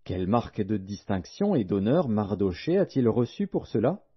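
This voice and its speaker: Man reading as commanding king, husky voice. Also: fairly low pitch, big pitch swings, moderate pace. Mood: questioning, commanding. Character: commanding male Persian king giving orders. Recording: dull, lo-fi audio.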